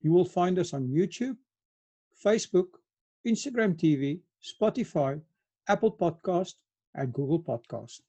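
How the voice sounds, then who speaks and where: A man's voice: high voice, lively intonation, unhurried, mellow, husky. A man hosting an interview podcast, often stumbling and restarting his sentences. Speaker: podcast host.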